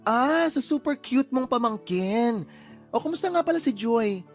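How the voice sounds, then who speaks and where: Sassy, flamboyant best friend, swooping intonation. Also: male voice, very high voice, lively intonation, moderate pace, soft background music. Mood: teasing. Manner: sassy, theatrical, teasing. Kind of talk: Tagalog radio drama acting.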